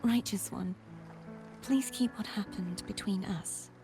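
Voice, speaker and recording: An actress playing an earnest, headstrong young maiden with knightly resolve in a video game, in formal, measured phrases. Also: female voice, mid-range voice. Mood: gentle. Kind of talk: dramatic video game voice acting. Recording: music behind the voice.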